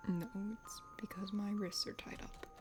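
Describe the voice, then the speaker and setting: A woman voicing a shy, gentle young horned man in a fantasy dating game, softly and in a hushed, bashful way. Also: medium pitch, flat delivery, normal speed, in a whisper, close to an everyday voice. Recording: music behind.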